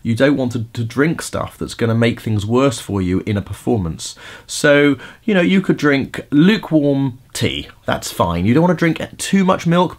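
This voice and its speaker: A middle-aged man hosting a podcast, giving casual vocal tips in a chatty way with many fillers and restarts. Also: medium pitch. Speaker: podcast host and vocal coach.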